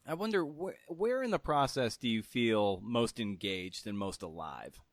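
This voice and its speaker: A man's voice: mid-range voice, animated, a little slow, no echo. A man hosting a podcast in an informal, conversational way, often rephrasing as he goes. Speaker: podcast host. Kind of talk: conversational interview and podcast narration.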